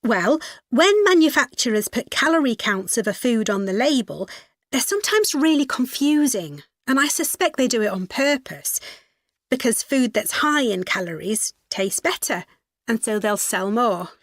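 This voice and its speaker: Female university student, scripted study discussion, matter-of-fact, highish voice, close dry sound. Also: big pitch swings, normal speed, dry recording. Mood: studious, matter-of-fact. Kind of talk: scripted educational dialogue.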